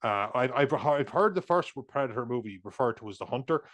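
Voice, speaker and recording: Rambling, animated man. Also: medium pitch, a little fast. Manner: rambling, restarts, swears, animated asides. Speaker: podcast co-host. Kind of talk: casual film discussion.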